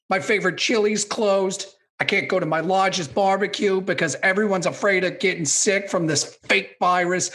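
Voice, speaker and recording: A performer playing a grumpy, stubborn older boomer who complains about technology in a comedy radio sketch. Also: a bit bright, natural voice. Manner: indignant, complaining. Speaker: movie recap co-host.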